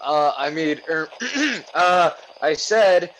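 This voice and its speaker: A man's voice: a little high, takes it slowly, a bit bright, slight background noise, rough recording. A man playing a bumbling, easily flustered small-town policeman in an old-time radio comedy sketch. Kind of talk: 1930s radio comedy acting.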